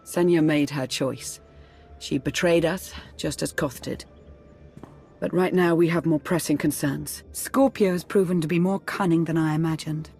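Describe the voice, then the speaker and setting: A woman's voice: fairly even intonation, unhurried. A woman playing a composed, resolute military advisor to a commander in a video game cutscene. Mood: grave. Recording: loud music and engine rumble behind.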